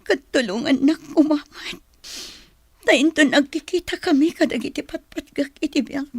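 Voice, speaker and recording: A woman playing an anguished mother in a radio drama, pleading in a tearful, breathy way. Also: high voice, moderate pace, dry recording, clean studio sound. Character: anguished mother giving up her child for adoption. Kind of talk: Ilocano radio drama acting.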